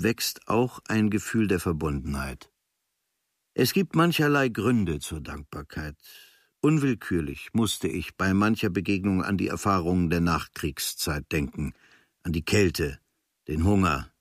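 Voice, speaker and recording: A low-pitched, reflective male writer looks back on his journeys. He reads his memoir in a measured, formal way, with quiet gratitude. The sound is very dry, with no room around the voice. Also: no echo. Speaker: reader of a writer's travel diary preface. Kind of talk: literary first-person reading of a memoir.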